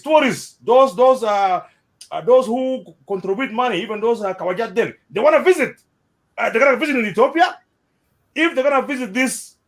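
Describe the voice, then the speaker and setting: South sudanese guy, high voice that jumps around a lot, repeats himself, casual chat. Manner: animated, repeats words, mixes in Arabic phrases. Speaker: interviewee. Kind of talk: casual spoken commentary.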